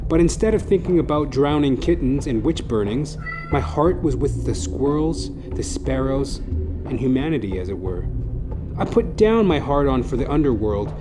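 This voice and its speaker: A man's voice: mid-range voice, low-quality recording. A man narrating a scripted comic monologue in a theatrical, wordy, mock-confessional way. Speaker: podcast host and comedian. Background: music behind the voice.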